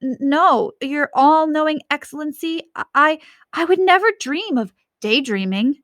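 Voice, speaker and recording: A woman's voice: high-pitched, a little slow, a bit bright, no room sound, clean studio sound, voice pushed up. A woman voicing a nervous but defiant schoolboy in a storybook, earnest and indignant. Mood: nervous, stammering.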